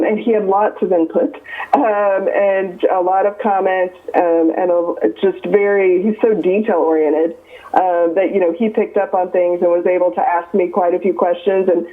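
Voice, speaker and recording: A Midwestern American woman in her fifties, an author being interviewed, talking earnestly with many ums and restarts. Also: on the low side. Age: 50s. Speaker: author guest. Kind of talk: casual interview over the phone. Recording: tinny phone line.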